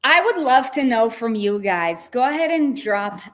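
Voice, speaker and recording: A woman's voice: fairly high pitch, animated, moderate pace. Chatty woman from Israel, lots of fillers. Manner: chatty, many fillers and restarts. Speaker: nutrition coach. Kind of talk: casual livestream talk.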